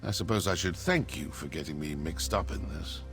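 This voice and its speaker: A man's voice: low-pitched, fairly quick, over music, lightly acted. A man playing a wry, self-satisfied mercenary assassin in a fantasy game. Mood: wry, boastful. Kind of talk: scripted fantasy game dialogue.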